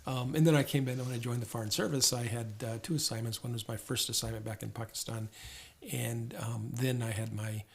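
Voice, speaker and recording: An older man speaks in a middle-pitched voice, thinking aloud as he answers an interviewer. He stumbles often, restarting phrases and repeating small words before he finds the thread. His tone is reflective and unhurried. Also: steady tone, vocal fry. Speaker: interview guest, former diplomat.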